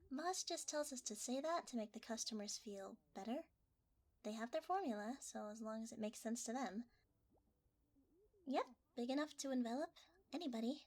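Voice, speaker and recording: A woman playing a flustered, winded delivery worker in a hesitant, breathy ASMR roleplay. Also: a little high. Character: flustered, winded young blanket delivery worker, chatty and shifty.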